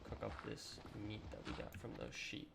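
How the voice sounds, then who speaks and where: A young man with a slightly raspy, sore voice, chatting casually and rambling through a let's-play with lots of ums. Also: normal speed, noisy background. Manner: relaxed, rambling with many ums and throat clearing. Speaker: gaming channel host.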